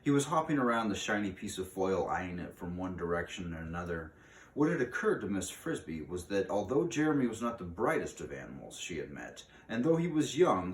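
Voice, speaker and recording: A man reading a storybook aloud in an expressive way, with dramatic pauses. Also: low voice. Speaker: reader of a children's book aloud. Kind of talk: storybook read-aloud narration. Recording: roomy, distant mic with faint noise.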